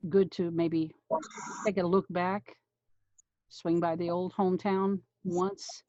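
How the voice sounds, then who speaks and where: A woman chatting on a voice actors' panel in a tentative, halting way. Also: on the low side, flat delivery, a little mellow, dry recording, lo-fi sound. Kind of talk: casual panel chat.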